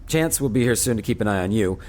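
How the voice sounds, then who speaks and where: A man playing a steady, dry starship captain in an audio drama, brisk and matter-of-fact. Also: on the low side, background noise, close to an everyday voice. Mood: commanding.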